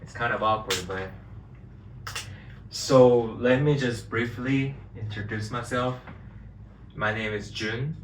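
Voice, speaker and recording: A man from Korea, speaking English as an in a relaxed, hesitant way and searching for words as a vlog co-host. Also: medium pitch, slow pace, long pauses. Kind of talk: casual chat. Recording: echoey room, distant mic, faint hiss.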